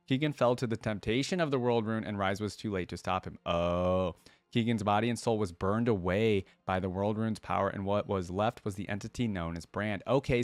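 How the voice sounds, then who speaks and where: Male reaction host, lower register, casual read-aloud commentary, very dry recording. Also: no room sound. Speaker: host of a game reaction video.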